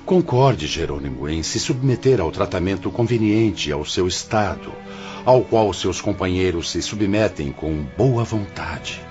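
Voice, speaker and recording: A wise, humble older male spirit with a very deep voice and a Brazilian accent. His solemn, formal counsel rises and falls expressively and patiently, as in a religious radio drama. Music plays clearly behind him. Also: animated, measured pace, muffled recording. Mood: gently firm. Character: serene, humble older male spirit, director of afterlife hospital.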